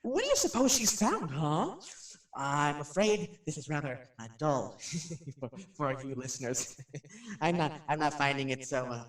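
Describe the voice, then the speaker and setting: Jittery, high-voiced radio host. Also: male voice, very animated, a little slow. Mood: nervous chatter. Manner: jittery, stammering, rambling, self-interrupting. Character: nervous chatty male radio personality, rattled in haunted house. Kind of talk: radio drama acting, rambling live monologue. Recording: roomy, distant microphone.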